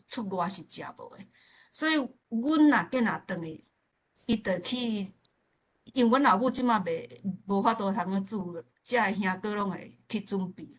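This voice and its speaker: A woman from southern Taiwan with a southern Taiwanese accent, speaking casually and reminiscing as a participant in a forum discussion. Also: mid-range voice. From Pingtung, southern Taiwan.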